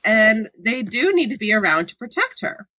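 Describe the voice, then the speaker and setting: A woman's voice: on the high side, sing-song, moderate pace, sharp tone, no echo. A woman in a casual book discussion on a podcast, talking informally with a wry manner. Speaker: podcast panelist.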